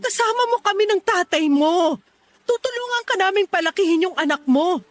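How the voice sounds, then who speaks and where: A woman's voice: very high-pitched, animated, moderate pace, no room sound. A woman playing a worried, devout middle-aged mother in a radio drama, firm and pleading as she admonishes. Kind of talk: Tagalog radio drama acting.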